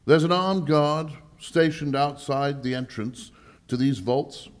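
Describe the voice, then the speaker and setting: A man's voice: on the high side, slow pace. A man playing an alarmed, pompous lordly bank governor in a live 1940s-style radio mystery, emphatic and melodramatic. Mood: grave, baffled.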